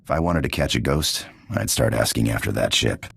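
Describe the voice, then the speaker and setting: An actor playing a gruff, wry smuggler informant in a video game, with a gravelly rasp. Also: male voice, extremely low pitch, expressive, brisk, fairly bright tone, exaggerated voice. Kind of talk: game voice acting.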